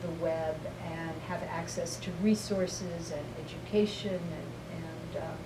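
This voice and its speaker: Halting middle-aged woman, echoey and distant. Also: lowish voice, fairly even intonation. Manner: thoughtful, frequent ums, slow and halting. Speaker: interviewee, company representative. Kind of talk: interview answers. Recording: roomy, distant mic, noisy ambience.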